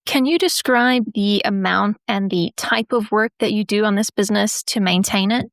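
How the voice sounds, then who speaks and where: A woman hosting a business podcast, reading a scripted intro and asking interview questions in a clear, measured way. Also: medium pitch, no echo, studio quality. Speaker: podcast host.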